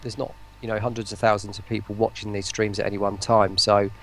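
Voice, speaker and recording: A man's voice: fairly low pitch, flat delivery, fast, a bit bright. A man talking casually and enthusiastically in an interview, rambling and often breaking into his own sentences. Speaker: voice pack producer, stream guest.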